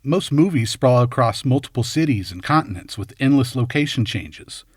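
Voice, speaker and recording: A man's voice: mid-range voice, lively intonation, normal speed, breathy edge, no echo, clean studio sound. A man reading scripted film commentary as host, in a wry, tongue-in-cheek way. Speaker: video essay host.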